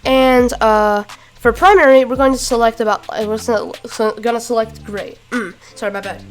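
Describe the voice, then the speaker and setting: A woman with a rough voice giving a casual step-by-step tutorial, halting with fillers and restarts. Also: fairly high pitch, soft background music. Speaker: tutorial creator. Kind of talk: casual screen-recorded tutorial.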